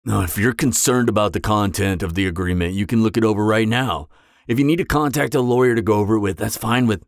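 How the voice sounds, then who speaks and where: A man's voice: lowish voice, fast talker, no room sound, studio quality, close to an everyday voice. A man playing an awkward, earnest, by-the-book supervillain on a date in an audio drama, speaking in a hesitant, stammering, matter-of-fact way. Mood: earnest, businesslike. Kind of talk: conversational roleplay audio drama.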